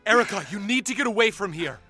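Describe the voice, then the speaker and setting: Man as a desperate young merman prince, sharp-voiced. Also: high-pitched, animated, fast talker, faint music behind, full character voice. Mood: desperate pleading. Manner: urgent, emotional. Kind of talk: fan audio drama acting.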